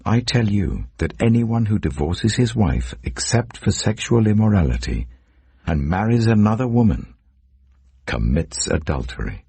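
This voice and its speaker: A man reading scripture as a narrator in a solemn, measured, dramatic storytelling delivery. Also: lowish voice, sing-song, gravelly, warm tone, husky, no echo. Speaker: Bible narrator.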